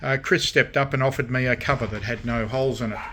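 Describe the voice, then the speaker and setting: A man presenting his hobby restorations in a casual show-and-tell, speaking haltingly with frequent pauses between short phrases. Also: medium pitch, fairly even intonation, pushed and bright, a little hiss or hum. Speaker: radio restoration hobbyist and vlogger.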